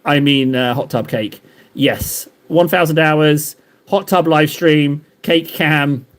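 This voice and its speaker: A man's voice: a little high, takes it slowly. A man live-streaming while he paints, rambling and joking as he banters with his chat.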